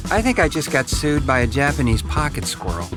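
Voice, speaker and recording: A man's voice: mid-range voice, big pitch swings, fairly quick. A man in an irreverent comedy radio promo, blunt and exasperated, with music behind him. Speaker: comedy radio show performer. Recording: produced promo over loud music.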